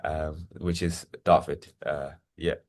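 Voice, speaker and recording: A man's voice: a bass voice, little pitch movement, takes it slowly. A young man, telling his life story in an interview, hesitant and full of fillers and repeated words. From Nigeria. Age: 20s. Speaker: interview guest. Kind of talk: reflective personal testimony.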